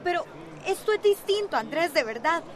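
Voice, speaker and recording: A woman's voice: extremely high pitch, very animated, moderate pace. An actress playing a worried, scolding teenage girl in a radio soap. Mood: worried, pleading.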